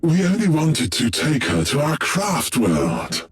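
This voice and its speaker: An actor playing a proud, cryptic alien seer in a video game, with solemn, portentous delivery. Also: male voice, on the high side, huge pitch swings, exaggerated voice. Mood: solemn, fateful. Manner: slow, portentous. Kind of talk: dramatic video game cutscene acting.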